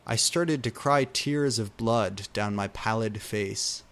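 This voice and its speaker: Slow, theatrical man reading fiction aloud. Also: lowish voice, a bit bright. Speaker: fanfiction reader. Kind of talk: comedic dramatic reading of fan fiction.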